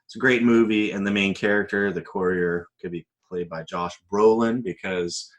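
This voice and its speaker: A man's voice: on the low side, fairly even intonation, moderate pace. A man co-hosting a casual podcast chat, talking in a hesitant, loosely rambling way. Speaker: podcast co-host.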